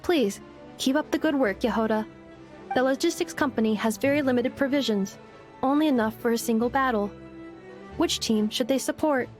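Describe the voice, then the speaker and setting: A woman plays a polite robot girl from a video game, her voice set high. She delivers reports with measured, formal precision, like a diligent machine assistant. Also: faint music behind, lightly acted. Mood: composed, formal.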